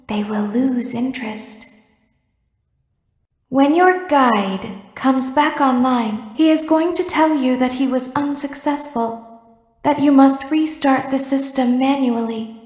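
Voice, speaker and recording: A woman voicing an eerie, childlike animatronic girl in a video game, wistful and sinister, speaking in a measured, detached way with deliberate pauses. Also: high voice, darkish tone. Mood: calm, cautioning. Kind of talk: video game character monologue. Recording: echoey hall with long reverb.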